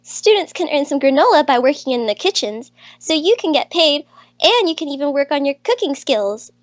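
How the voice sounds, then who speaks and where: A woman voicing a brisk, teasing young rabbit-eared female student in a visual novel dub, matter-of-fact and a bit bossy. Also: sits high, very animated, no room sound. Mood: helpful, brisk.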